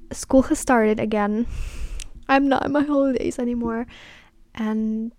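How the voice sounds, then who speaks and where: Young woman, eighteen, solo podcast host, rambling filler-heavy chat, fairly high voice, dry close sound. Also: a little mellow, dry recording. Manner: rambling, hesitant, many "like" fillers; self-conscious and apologetic.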